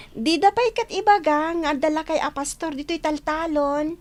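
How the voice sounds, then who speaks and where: Very high-pitched cheeky child. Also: female voice, unhurried, background noise. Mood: teasing, curious. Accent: Ilocano. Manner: pert, questioning. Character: cheeky, inquisitive young child teasing parents. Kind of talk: Ilocano comedy radio drama acting.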